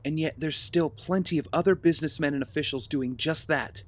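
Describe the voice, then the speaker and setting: A man's voice: a little high, a little fast, lightly acted. A man playing a brooding, disillusioned young vigilante in an audio drama, with a weary, earnest manner. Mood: frustrated doubt. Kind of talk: scripted audio drama acting. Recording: clear background noise with ambience.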